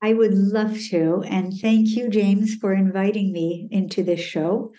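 Woman guest, mellow warm tone, expressive and candid. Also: medium pitch, a little slow. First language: French. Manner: expressive, candid, talks in vivid images. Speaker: executive coach, interview guest. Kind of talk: casual conversation.

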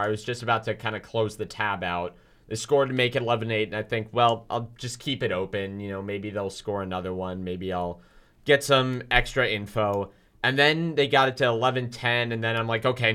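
A young man talking loudly and energetically as a student sports reporter on a casual podcast. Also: on the low side. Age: young adult. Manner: loud, energetic talker.

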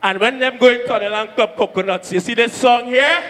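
A man from Trinidad working as a sound system selector, shouting hype calls to the crowd during a live sound clash. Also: extremely high pitch, a little fast. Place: packed dance hall at a live event. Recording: live hall reverb with music.

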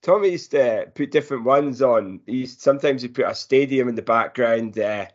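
A man's voice: mid-range voice, moderate pace, highs cut off. A man co-hosting a podcast in casual sports chat. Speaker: podcast co-host.

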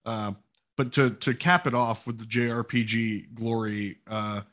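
A man's voice: lowish voice, fairly even intonation, unhurried, fairly bright tone. A man co-hosting a gaming podcast, chatting casually and informally with enthusiastic, opinionated remarks. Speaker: podcast co-host.

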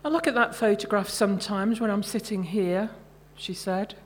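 A middle-aged woman, an author reading her own short fiction at a live event, measured and carefully phrased. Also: medium pitch, a little hiss or hum.

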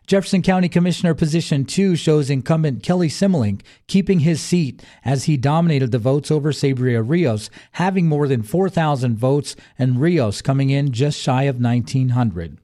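A man's voice: mid-range voice, normal speed, hardly any pauses, dark tone. A man reading a scripted local news and sports bulletin as the newsreader. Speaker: local radio news reader.

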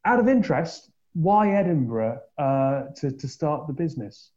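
A man hosting a podcast, chatting and joking with his co-host before interviewing a guest, with quick asides. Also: a little high, very animated, mellow, highs cut off.

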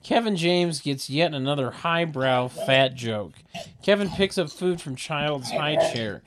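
A man narrating a comedy table read in a deadpan way, with mocking sardonic asides. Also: fairly high pitch, measured pace, slight background noise. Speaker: narrator reading stage directions.

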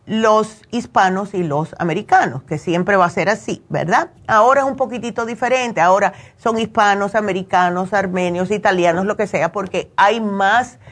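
A woman's voice: medium pitch, moderate pace, hardly any pauses. A woman from Cuba, raised in the United States, hosting a call-in show and chatting conversationally, often checking for agreement. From born in Cuba, raised in the United States. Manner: chatty, frequently seeks agreement with tag questions. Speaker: radio call-in show host. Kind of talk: conversational advice talk with personal anecdotes.

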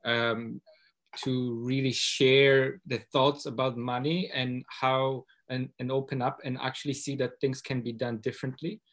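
A man's voice: medium pitch, little pitch movement, measured pace, fairly bright tone. Man, hesitant questioner. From Poland. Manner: hesitant, many uhs and restarts. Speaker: audience member asking a question.

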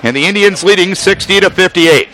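A man calling a basketball game on radio, giving a quick, detailed call of every pass along with the score and the clock. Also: high-pitched, bright, forceful tone, rough recording. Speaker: play-by-play announcer.

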